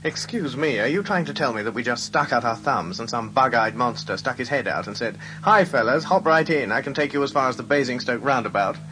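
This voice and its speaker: A man's voice: mid-range voice, expressive, fairly quick, over music, close to an everyday voice, poor audio. An actor playing a bewildered, indignant ordinary man with an English accent and a spluttering, sarcastic manner in a radio comedy. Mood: groggy, sarcastic.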